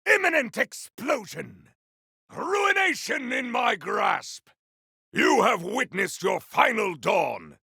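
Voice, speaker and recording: Game hero: grandiose, vengeful elemental warrior, very gravelly and forceful, theatrical archaic combat lines. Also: male voice, very high voice, very animated, takes it slowly, no room sound, studio quality, heavily acted. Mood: fierce, bombastic. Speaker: voice actor for a video game character. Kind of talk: video game combat barks.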